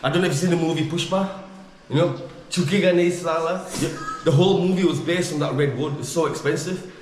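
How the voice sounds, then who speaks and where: Man, slightly high voice, rambling joking tour guide, light hiss behind. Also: a little fast, roomy sound. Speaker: vlogger. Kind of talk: casual chat, touring a village house. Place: old family house in a village, indoors and on upper floor.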